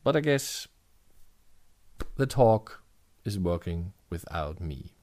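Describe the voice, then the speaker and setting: A man speaking casually and hesitantly, with frequent pauses and restarts. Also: low-pitched, very animated, darkish tone, dry recording. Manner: hesitant, halting farewell. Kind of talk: casual online chat.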